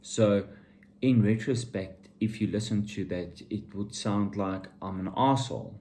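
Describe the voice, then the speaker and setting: A middle-aged man with a dark, mellow voice talks informally to his viewers like a motivational vlogger. He takes his time and hammers his opinions home bluntly, repeating for emphasis. There is faint noise behind him. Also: on the low side, measured pace. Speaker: vlogger and entrepreneur giving motivational talk.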